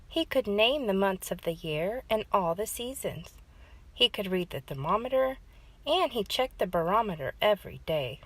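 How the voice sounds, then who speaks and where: A woman's voice: mid-range voice, expressive, normal speed, fairly bright tone, breathy edge. A woman hosting story time, reading a children's storybook aloud as narrator.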